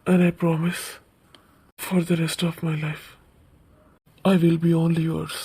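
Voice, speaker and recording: A woman voicing a caring, lovestruck young man in a cartoon romance, speaking in a soft, reassuring and earnest way. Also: on the low side, level tone, very slow, breathy edge, exaggerated voice. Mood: tender, lovestruck.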